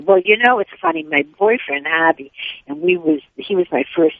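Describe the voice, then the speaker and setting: A woman's voice: medium pitch, very animated, creaky, no room sound. An older woman, a Yiddish-speaking author, chatting upbeat and rambling as an interview guest. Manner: upbeat, rambling, quick tangents. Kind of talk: casual interview chat. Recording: tinny phone line.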